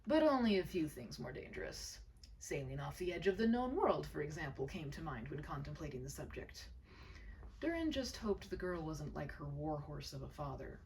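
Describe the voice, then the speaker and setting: Female narrator for a historical tale: lowish, creaky, dramatic and hushed at phrase ends, recorded a little away from the mic. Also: animated, moderate pace, far from the mic, a little hiss or hum, poor audio. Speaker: storyteller reading an original short story aloud. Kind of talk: expressive scripted narration of a historical romance tale.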